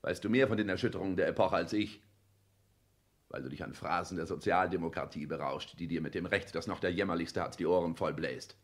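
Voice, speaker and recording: Casting: haughty, calculating business upstart for a sixties radio comedy, lowish voice, pompous declamation. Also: male voice, brisk. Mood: cold, contemptuous. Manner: declamatory, pompous, clipped commands. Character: cold, arrogant, calculating social-climbing businessman. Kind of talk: 1960s German radio play acting, stage diction.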